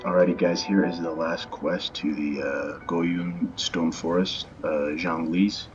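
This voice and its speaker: A man's voice: low voice, level tone, slow. A man giving casual, offhand gameplay commentary in a hesitant way. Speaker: gameplay video creator. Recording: dull lo-fi mic under game music. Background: game music.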